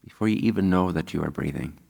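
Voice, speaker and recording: Deep, gravelly man guiding a meditation. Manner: calm, unhurried, with long pauses. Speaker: spiritual teacher leading a guided meditation. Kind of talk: guided meditation talk. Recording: long hall-like reverb.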